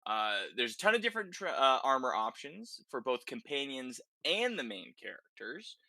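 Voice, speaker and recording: A man's voice: mid-range voice, big pitch swings, moderate pace, bright, forceful tone, no room sound. A man hosting a casual, conversational podcast discussion about video games, often checking in with short tag questions. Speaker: podcast host.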